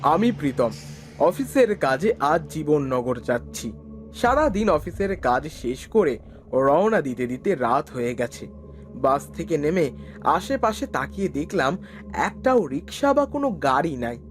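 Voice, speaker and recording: A man's voice: fairly high pitch, very animated, unhurried, faint music behind. A man giving a scripted first-person narration of a horror story.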